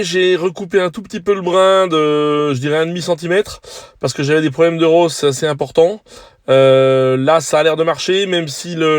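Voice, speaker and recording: A man talking casually as an amateur radio operator, in a measured, technical way with hesitant pauses. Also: on the high side, clean tone, dry recording, studio quality. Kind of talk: casual two-way radio chat. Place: on a hilltop in the countryside.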